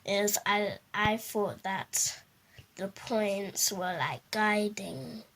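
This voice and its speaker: A female speaker giving a casual testimonial in simple words, with a halting delivery. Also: mid-range voice, a bit bright, a little hiss or hum. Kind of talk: spoken testimonial, casual interview answers.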